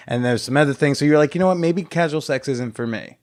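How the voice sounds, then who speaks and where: A man's voice: on the high side, quick pace, darkish tone, dry recording. Playful male podcast host. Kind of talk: casual interview chat.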